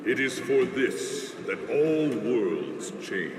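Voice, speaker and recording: A man voicing a solemn, godlike game arbiter who makes grave, ceremonial proclamations in a gravelly voice. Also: mid-range voice, sing-song, noisy background. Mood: solemn, portentous. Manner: grave, ceremonial, halting delivery. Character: solemn, godlike cosmic arbiter speaking in riddles. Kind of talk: video game voice acting, portentous proclamation. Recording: long cavernous reverb under loud music.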